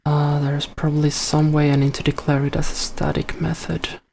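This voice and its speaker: A man's voice: a little high, monotone, moderate pace, vocal fry, darkish tone, slight background noise. A man from Finland with a Finland-Swedish accent, whose first language is Finnish, casually thinking aloud while coding and answering a chat question, with many fillers. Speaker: live coder answering chat.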